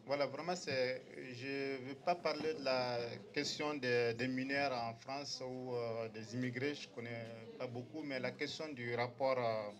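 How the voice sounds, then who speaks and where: Man from Mali; unscripted audience remark, hesitant and filler-heavy, mid-range voice. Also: medium pitch. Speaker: audience member speaking up. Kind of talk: spontaneous debate remark.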